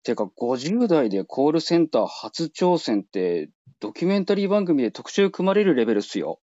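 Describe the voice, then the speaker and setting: A man's voice: a little high, very animated, normal speed, dark tone, dry recording, dull audio. A man playing a flippant, nosy junior call-centre worker in a radio drama, talking in a slangy, teasing way. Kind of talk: Japanese radio drama acting.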